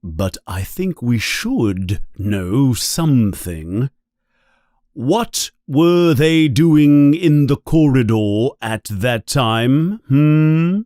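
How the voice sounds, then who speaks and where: A man voicing a suspicious wizard professor in a storybook, speaking in a pointed, questioning, deliberate manner. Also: medium pitch, darkish tone, no room sound, studio quality. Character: suspicious, probing adult male wizard professor.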